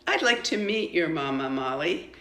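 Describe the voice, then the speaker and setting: An older woman voicing a firm, kindly female schoolteacher from a children's storybook, in an emphatic, measured way. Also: sits low, lively intonation, bright, forceful tone, roomy sound.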